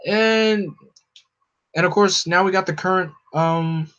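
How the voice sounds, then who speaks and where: A fairly high-voiced man holds forth on a casual home stream, opinionated and animated, his voice leaping up whenever he gets excited. The audio is dull and lo-fi. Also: slow, smooth. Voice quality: no regional accent. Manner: animated, opinionated, jumps up in pitch when excited. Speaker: streamer host. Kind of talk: casual chat to a live audience.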